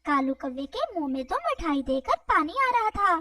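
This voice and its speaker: A woman's voice: very high voice, sing-song, normal speed, sharp tone, dry recording, clean studio sound, recorded from a distance. A woman narrating a scripted moral story for children.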